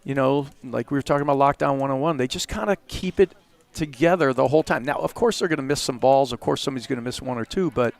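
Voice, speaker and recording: A man's voice: mid-range voice, fast talker, vocal fry. A man commentating a platform tennis final in a chatty, conversational way, then wrapping up the broadcast. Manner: chatty, conversational, fillers like "you know". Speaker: play-by-play commentator. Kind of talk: sports commentary wrap-up.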